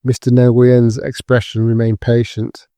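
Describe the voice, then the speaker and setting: Guy narrating an audio drama, flat even delivery, super dry close sound. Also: medium pitch, unhurried, clear, resonant tone, no echo.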